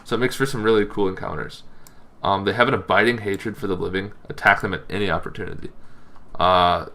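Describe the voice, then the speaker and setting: A man hosting an informal explainer on tabletop game monsters, talking in a casual, halting, loosely phrased way. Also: fairly low pitch, fairly even intonation, bright, forceful tone. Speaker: host of a tabletop roleplaying lore show.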